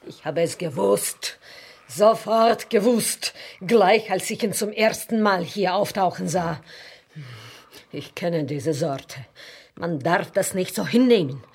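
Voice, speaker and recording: An actress playing a blunt, emphatic office cleaner with a conspiratorial streak in a naturalistic radio play. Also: female voice, lowish voice, slow pace, gravelly, darkish tone, slightly rough, heavily acted. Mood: outraged. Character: indignant, conspiratorial working-class woman, an office cleaner.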